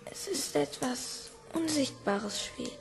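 A woman playing a small, anxious orphan child who speaks hesitantly and uneasily in a hushed audio drama scene. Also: high-pitched, animated, slow, creaky, music in the background, exaggerated voice. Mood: uneasy, doubting.

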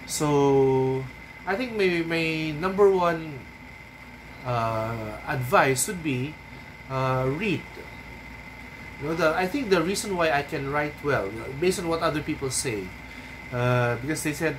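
A man in his thirties from the Philippines with a Filipino accent, a Cebuano native speaker, giving unhurried writing advice to a webcam in a small room at home. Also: fairly high pitch, noisy background. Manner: unhurried, thoughtful, frequent ums and tag "no?". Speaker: writing coach. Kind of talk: casual advice talk to camera. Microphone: webcam at desk.

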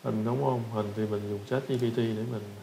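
A man with a Southern Vietnamese accent giving a casual software tutorial, often repeating short phrases as he demonstrates. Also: fairly low pitch, fairly even intonation, moderate pace, gravelly. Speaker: tutorial instructor. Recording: roomy echo with background noise.

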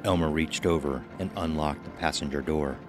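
A man tells a scripted horror tale in an extremely deep voice. Music plays clearly beneath him. His delivery is measured and dramatic, phrase by deliberate phrase. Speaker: narrator of a horror anthology. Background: music under the voice.

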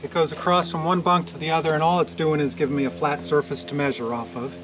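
A man's voice: on the high side, flat delivery, quick pace. Chatty man fixing a sawmill outdoors. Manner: chatty, laughs easily, talks while working. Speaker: sawmill owner showing repairs. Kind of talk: casual hands-on explaining. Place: outdoors in a wooded yard beside a sawmill. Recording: outdoor recording with clear background noise.

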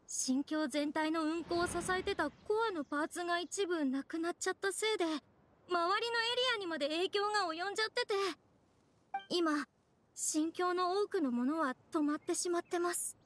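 Anime game young woman: timid, stammering, self-deprecating, extremely high register, theatrical delivery. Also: normal speed, a bit bright, a little hiss or hum. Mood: troubled. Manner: hesitant, stammering, dramatic. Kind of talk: Japanese anime game voice acting.

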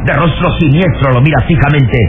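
A man's voice: fairly high pitch, fairly quick. A man delivering dramatic, solemn and suspenseful narration for an old radio serial. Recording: tinny, noisy old radio recording.

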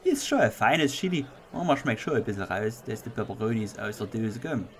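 Pompous male food connoisseur for a comic tale, acted by a man, very lively swooping intonation. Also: mid-range voice, a little fast. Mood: critical. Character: fussy, appreciative male chili connoisseur judges.

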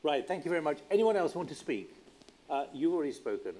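A man chairs a live debate in a packed theatre, calling out to the audience in a brisk, directive way. His voice sits a little high but sounds mellow, with a faint hiss behind it. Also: very animated. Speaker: debate moderator. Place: large theatre stage with audience.

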